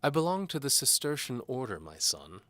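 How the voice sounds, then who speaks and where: A man's voice: medium pitch, sing-song, a little fast, a bit bright, dry recording, voice pushed up. A man voicing a pompous, menacing bishop in an audio drama, speaking formally and with condescension. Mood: stern. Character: pompous, menacing bishop interrogating a prisoner, patronizing. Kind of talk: audio drama character acting.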